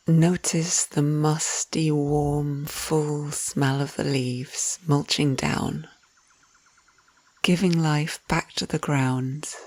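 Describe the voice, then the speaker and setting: A woman narrating a scripted guided visualisation in a soft, soothing, unhurried manner, with breathy phrase endings. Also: low-pitched, no room sound. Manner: soothing, unhurried, gentle instructions.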